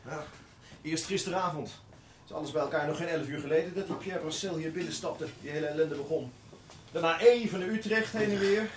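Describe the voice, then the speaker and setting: A man's voice: on the high side, fairly quick, close to an everyday voice. A man playing an earnest younger police detective, the chatty, plain-spoken sidekick in a radio drama. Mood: tired, reflective. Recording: echoey room, distant mic, clear noise.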